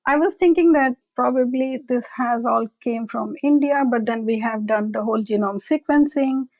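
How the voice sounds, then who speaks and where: A woman's voice: fairly high pitch, gravelly, dry recording, rough recording. A woman researcher being interviewed, explaining carefully and often adding "I will say". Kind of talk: conversational expert interview.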